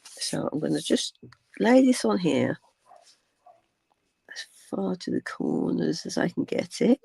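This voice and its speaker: An older woman with a croaky voice, chatting casually through a craft tutorial, rambling with many ums and dropping to a whisper while she works. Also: lowish voice, sing-song, takes it slowly, dark tone, no echo. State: croaky from cold weather. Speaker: crafting channel host.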